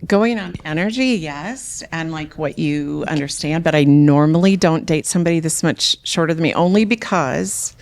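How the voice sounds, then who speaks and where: A woman's voice: deep voice, normal speed, hardly any pauses, a little mellow. An older woman speaking candidly and casually as a dating show contestant, considerate and a little hesitant.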